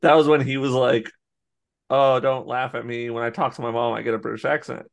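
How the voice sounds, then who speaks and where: Casual American podcast co-host, gravelly voice, laughs through rambling anecdotes. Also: male voice, mid-range voice, steady tone, brisk. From United States. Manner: laughs often, rambling storytelling with restarts. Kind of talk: casual chat.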